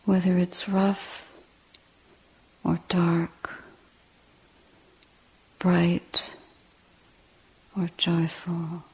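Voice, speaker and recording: A woman meditation teacher leading a guided meditation in a breathy, hushed voice, with deliberate short phrases and long pauses. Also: on the low side, poor audio. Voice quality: husky, breathy, often near whisper.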